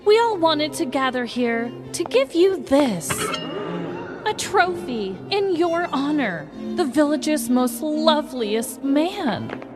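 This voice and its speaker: A woman's voice: high-pitched, very animated, slow pace, music in the background, heavily acted. A woman playing an earnest, theatrical villager making declamatory speeches in a comedy audio story. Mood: ceremonious. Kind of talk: scripted comedy audio drama.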